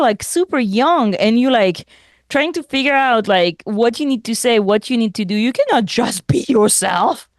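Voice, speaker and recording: A young adult woman whose first language is French, co-hosting a podcast in casual chat, animated, laughing often and restarting sentences. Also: a little high, no room sound, studio quality. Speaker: podcast co-host.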